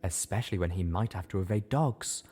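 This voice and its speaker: A man voicing a refined, caring but fussy mare who is quick to scold, in a story reading. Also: low-pitched, dark tone, breathy edge. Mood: prim, concerned.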